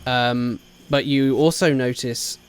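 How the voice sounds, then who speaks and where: A British game master narrates dramatically. His mid-pitched voice moves slowly, phrase by phrase, over faint background ambience. The delivery is improvised yet measured. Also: fairly even intonation, a little hiss or hum.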